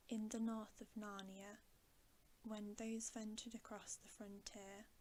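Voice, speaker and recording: A woman reading a bedtime story for ASMR in a soft, gentle, breathy voice that often drops to a whisper. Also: mid-range voice, slight background noise, low-quality recording. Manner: hushed, unhurried, gentle. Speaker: ASMR reader.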